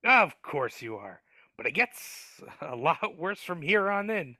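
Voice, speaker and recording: A man's voice: a little high, big pitch swings, takes it slowly, sharp tone, no room sound, studio quality, exaggerated voice. A man voicing a sly, grumbling male dwarf in a live video-call table read, hesitant and wheedling, stammering often. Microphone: video call mic.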